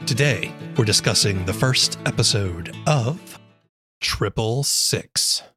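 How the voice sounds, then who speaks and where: A man's voice: fairly low pitch, takes it slowly, over music. A man hosting a podcast interview, giving scripted introductions and asking questions.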